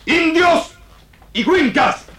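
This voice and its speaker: A commanding male war chief, raised very high and forceful. He orates slowly and proudly in broken phrases, in an echoey old radio drama recording. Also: lively intonation, heavily acted. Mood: proud, rousing. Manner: loud oratory in broken speech, proud and forceful.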